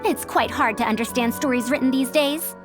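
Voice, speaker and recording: A woman's voice: high-pitched, fairly quick, over music, close to an everyday voice. A woman playing a prim, youthful-sounding old female scholar in an anime-style game, speaking in a formal, pedantic way. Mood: puzzled, analytical. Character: bookish, prim female scholar over a hundred years old, youthful and opinionated.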